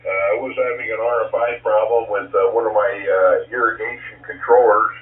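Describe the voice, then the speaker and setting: A man getting over a cold, chatting casually on a radio net, halting with many fillers. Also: lowish voice, fairly even intonation. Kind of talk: casual on-air radio chat. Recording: tinny distant radio signal in echoey room.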